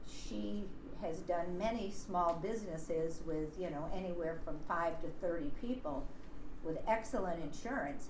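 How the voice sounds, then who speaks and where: A woman speaking during public comment at a town board meeting, earnest and pausing often with fillers. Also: mid-range voice. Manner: earnest, with many pauses and fillers. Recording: roomy government-access recording with background hiss.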